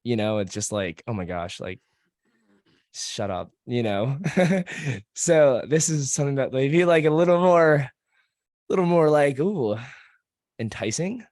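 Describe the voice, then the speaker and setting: Slightly hoarse, laughing podcast host man. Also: medium pitch, a little slow, darkish tone, no room sound, clean studio sound. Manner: laughs often, swears freely, loose joking delivery. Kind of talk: casual podcast chat.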